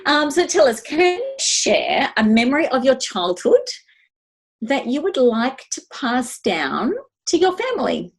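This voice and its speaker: A woman's voice: high-pitched, big pitch swings, a little slow, breathy edge. Australian woman co-host, hesitant and friendly. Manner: warm, hesitant, many ums and restarts. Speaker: podcast co-host. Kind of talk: casual podcast chat.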